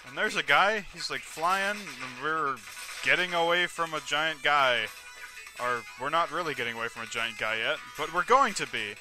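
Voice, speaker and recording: A man with a slightly high voice hosts a casual let's play over clearly audible game music. He describes what happens on screen in a rambling way, often doubling back to correct himself. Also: animated, moderate pace. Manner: rambling, self-correcting, narrates on-screen action. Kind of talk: casual video game commentary. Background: video game music.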